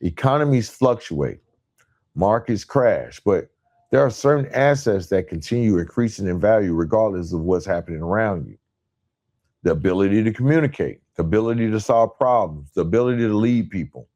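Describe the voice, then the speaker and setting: A man with a fairly low voice delivers a motivational podcast monologue. He addresses listeners directly, leaning on rhetorical questions and parallel phrasing to press each point with emphasis. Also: darkish tone. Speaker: podcast host.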